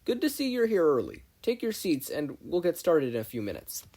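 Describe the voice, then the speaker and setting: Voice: mid-range voice, huge pitch swings, warm tone, voice pushed up. A performer voicing a businesslike male hero teacher addressing a class in a story reading. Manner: brisk, official, projecting.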